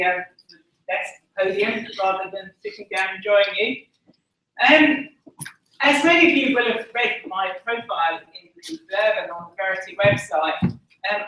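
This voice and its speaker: An Essex-accented woman tells her own story at a conference, candid and earnest, often pausing on "um" and slipping in wry jokes about herself. Her voice is bright and clear. It reaches the mic from some distance across a large, strongly echoing hall. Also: medium pitch, slight background noise. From Essex, England. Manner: candid and earnest, with frequent ums and self-deprecating asides. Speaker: conference speaker. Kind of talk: personal testimony speech. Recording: echoey hall from far off.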